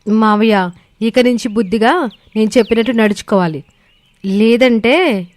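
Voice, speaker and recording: A woman voicing a shrewd, sarcastic young village daughter-in-law in an animated moral story, outwardly polite but firm. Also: a little high, moderate pace, no echo, close to an everyday voice. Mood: stern. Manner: firm, slyly sweet.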